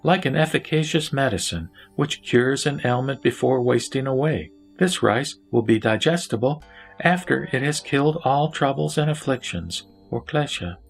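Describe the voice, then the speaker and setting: A man reciting scripted scripture narration in a measured, solemn manner. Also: mid-range voice, expressive, vocal fry, husky. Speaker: narrator and reciter of a Buddhist sutra. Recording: background music under the voice. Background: instrumental music.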